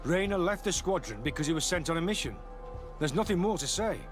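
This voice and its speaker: Guy playing nervy stiff young recruit in a game, defensive, slightly high voice, music under it. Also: animated, brisk, close to an everyday voice. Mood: evasive. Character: guarded, dutiful young male soldier recruit. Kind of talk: dramatic video game dialogue.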